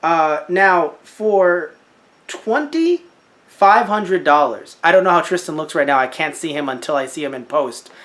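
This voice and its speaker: Young male podcast co-host, animated and jokey, very expressive pitch. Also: unhurried. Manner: animated, joking, with mocking put-on quotes. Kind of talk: casual chat about a crowdfunding campaign.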